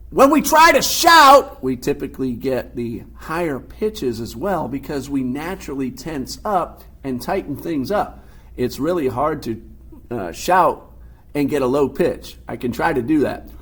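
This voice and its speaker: A man teaching a recorded physical science lesson, explaining terms patiently and step by step in his deep voice. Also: animated, unhurried, fairly bright tone, slightly rough. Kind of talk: recorded classroom lecture.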